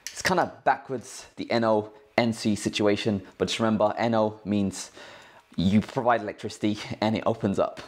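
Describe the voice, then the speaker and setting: A man's voice: on the low side, steady tone, normal speed. A man hosting a tech tutorial in a casual, informal, slangy way, explaining things step by step. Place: indoors at a cluttered work desk.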